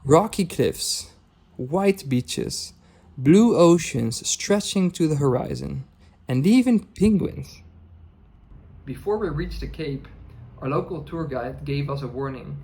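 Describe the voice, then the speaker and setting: A man whose first language is Dutch, narrating his travel vlog in a calm, measured, scripted voice-over. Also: a little high, gravelly, slightly rough. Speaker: travel vlogger.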